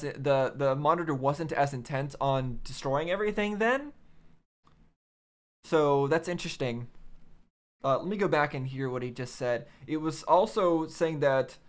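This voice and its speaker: A man giving casual reaction commentary, thinking aloud in a halting way and repeating phrases. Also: medium pitch. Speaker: reaction video host.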